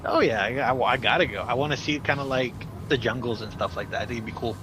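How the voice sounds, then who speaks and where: A man's voice: medium pitch, fast. A young man streaming outdoors, chatting in a teasing, joking way, laughing often and restarting his words. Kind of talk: joking casual chat. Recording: noisy outdoor street recording.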